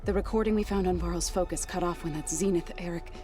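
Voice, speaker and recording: A woman voicing a calm, steady, loyal comrade in a video game, with music behind her. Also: on the low side, quick pace, close to an everyday voice. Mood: somber concern. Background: background music.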